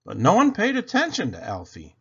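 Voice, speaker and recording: A man reading a children's picture book aloud, expressive and deliberate. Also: high voice, husky. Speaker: storybook reader. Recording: dull lo-fi recording.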